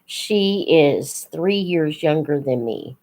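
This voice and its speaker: A woman hosting a casual live chat, unhurried and thinking aloud as she talks to her viewers. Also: low voice, animated, darkish tone. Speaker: host of a live book Q&A, teacher-like reader.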